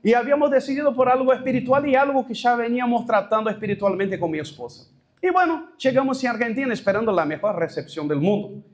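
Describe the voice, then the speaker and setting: A man with a Brazilian Portuguese accent, a pastor giving a personal testimony at a leadership workshop, telling his story haltingly with restarts and stray Portuguese words. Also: very high-pitched, lively intonation.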